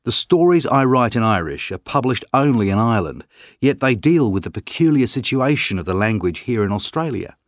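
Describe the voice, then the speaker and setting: Male actor as calm articulate writer guest, expressive delivery, dry studio read. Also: medium pitch, a little fast, no echo, close to an everyday voice. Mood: earnest. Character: man, calm thoughtful writer and broadcaster. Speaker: interview guest. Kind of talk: scripted exam interview.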